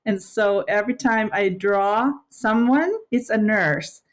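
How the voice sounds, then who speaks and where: Female podcast guest, fifties to sixties, Filipino accent, Tagalog native, medium pitch, informal conversational delivery. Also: slow pace. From Philippines. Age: 50s or 60s. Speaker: podcast guest, nurse director. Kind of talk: casual autobiographical chat.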